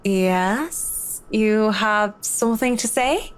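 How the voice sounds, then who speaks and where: A blunt, dry-witted designer. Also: female voice, mid-range voice, extremely slow, smooth, low-quality recording. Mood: cool. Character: blunt, self-assured woman interior designer. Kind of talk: video game voice acting.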